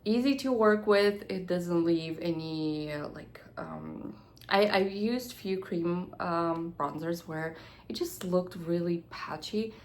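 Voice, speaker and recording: A woman's voice: lowish voice, a little mellow. Nasal, stuffy-voiced woman beauty vlogger. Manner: chatty, hurried, drops to near-whispers at times. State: congested and nasal from a cold. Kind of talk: casual product review to camera.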